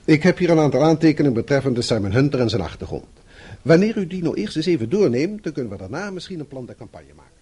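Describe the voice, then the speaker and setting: An actor playing a courteous, persuasive broadcast journalist in a Dutch radio drama, with a polite, coaxing way of talking. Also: male voice, fairly high pitch, big pitch swings, fast talker, warm tone, husky.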